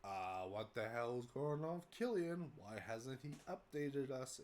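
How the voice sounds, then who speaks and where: A man's voice: medium pitch, expressive, measured pace, a little mellow, slight background noise. Young American man, head cold, stuffy voice; casual vlog update, meandering with fillers. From United States. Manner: rambling, many fillers and restarts. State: congested from a cold. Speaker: vlogger. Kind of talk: casual update talk to camera.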